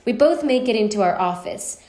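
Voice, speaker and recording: A woman reading a first-person story aloud in an expressive storytelling style. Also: medium pitch, brisk. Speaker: story reader. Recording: roomy, echoing space.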